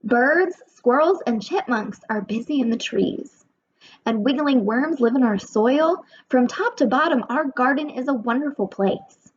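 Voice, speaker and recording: A woman voicing a well-traveled, caring marigold flower lady in a steady, reassuring read. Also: high voice, a little slow, breathy edge, lo-fi sound, exaggerated voice.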